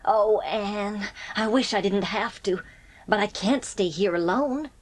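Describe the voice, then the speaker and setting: A woman's voice: mid-range voice, a little slow, no echo, natural voice. A woman playing an older, stern but loving farm spinster in an audiobook drama, plain-spoken and heartfelt. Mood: resigned.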